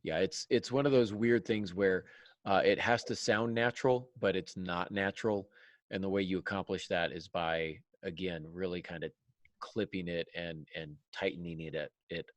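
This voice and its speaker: A man's voice: low-pitched, steady tone, normal speed, no echo. A man talking conversationally as a podcast host about his craft, emphatic and wry, often stopping to correct himself. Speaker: podcast host, writer and writing teacher.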